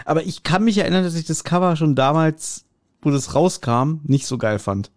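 A man's voice: on the high side, expressive, moderate pace, no echo. Slangy man, close dry sound. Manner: slangy, blunt. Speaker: podcast co-host. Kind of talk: casual chat reviewing an audio drama. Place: remote recording at a computer, on video call.

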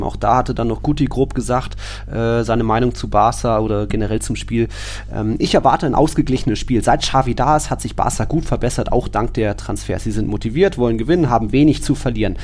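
A man with a gravelly, creaking voice at an average male pitch hosts a football podcast. He talks casually and informally, thinking aloud with a slightly halting, conversational delivery. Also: darkish tone. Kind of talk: casual sports podcast talk.

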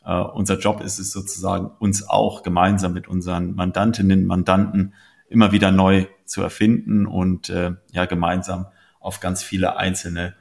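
A man's voice: sits low, flat delivery, normal speed, creaky, a little mellow. A man from Germany, a business consultant speaking as an interview guest. He talks earnestly, with frequent hesitation sounds. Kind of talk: casual interview talk.

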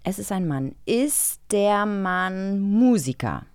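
A woman co-hosting a podcast in casual talk, with a playful, effusive, animated manner. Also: mid-range voice, slow, clean tone, dry recording, clean studio sound. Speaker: podcast co-host.

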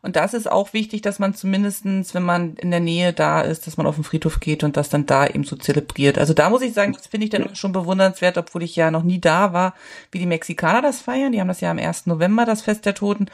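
A German woman who lives in China, chatting as a guest and telling things in a lively way with many fillers. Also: fairly low pitch, fast talker. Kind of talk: casual conversation. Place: China, living abroad.

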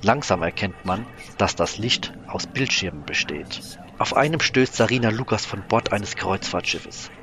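A man's voice: lowish voice, animated, normal speed, natural voice. A man reading scripted scene-setting narration for a science-fiction audio drama.

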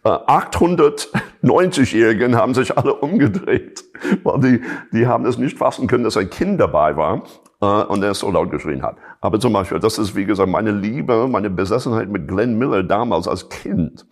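A middle-aged man from Chicago with an American accent, speaking German in an interview with many pauses and restarts. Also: lowish voice, lively intonation. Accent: American English. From Chicago, USA. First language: English. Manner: hesitant, many fillers and restarts. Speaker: jazz musician and teacher, guest. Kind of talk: casual interview talk.

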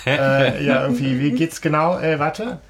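A man's voice: a little high, steady tone, moderate pace. A man co-hosting a podcast in casual, conversational talk. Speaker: podcast co-host. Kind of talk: casual chat.

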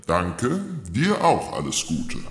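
An actor playing a sly, easygoing giant in a fairy-tale audio drama, with a hammy, drawn-out delivery. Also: male voice, sits low, wildly swooping pitch, echoey room, exaggerated voice. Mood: sly, good-natured. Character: sly giant who feigns being beaten, easygoing.

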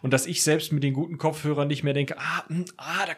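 A man's voice: on the high side, steady tone, a little fast, slightly rough. Casual man talking on a podcast. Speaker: podcast guest, audio drama producer.

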